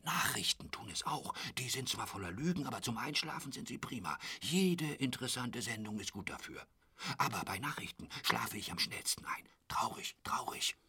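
An actor in a radio drama playing a talkative, intrusive, lecherous hotel roommate who boasts and pities himself in a pestering way. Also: male voice, medium pitch, expressive, normal speed, pushed and bright, heavily acted. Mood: restless, pleading. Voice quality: husky, dropping into whispers. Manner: garrulous, pestering, repeats pet phrases.

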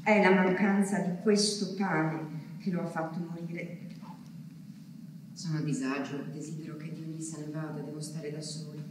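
A tormented wartime wife, theatre monologue, slow heavy pauses, far from the mic w big echo. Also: female voice, low voice. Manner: slow, halting, intense delivery with heavy pauses. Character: anguished wartime woman awaiting her missing husband. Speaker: stage actress. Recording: echoey hall, distant mic, faint noise.

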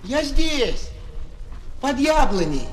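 A man playing a shy, gentle fox who longs for friendship, hesitant and tender, in a children's audio drama. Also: very high-pitched, extremely slow, slight background noise, full character voice, rough recording. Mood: shy greeting. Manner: hesitant, tender, expressive explaining. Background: music.

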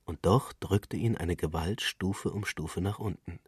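A man narrating literary fiction for radio in a measured, deliberate storytelling manner. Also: sits low, slightly rough, no echo, studio quality.

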